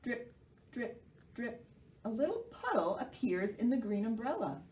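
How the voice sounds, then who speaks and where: Animated American woman hosting children's storytime, roomy sound. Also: fairly high pitch, a little slow. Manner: animated, simple explanations with questions to young children. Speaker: children's library storytime host. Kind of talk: children's storytime presenting and read-aloud. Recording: roomy, distant microphone, faint noise.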